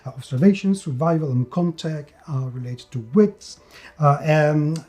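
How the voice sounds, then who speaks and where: A man's voice: on the high side, normal speed, dark tone, faint music behind. A man hosting a tabletop game review, speaking enthusiastically and stressing words by repeating them. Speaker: host and reviewer of tabletop role-playing games. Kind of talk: scripted-sounding video review.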